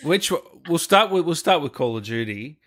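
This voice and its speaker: A man's voice: on the high side, animated, moderate pace, a bit bright. A man with an Australian accent hosting an interview, reading out viewer comments and restarting his questions. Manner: stumbling restarts, reassuring. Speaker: interview host.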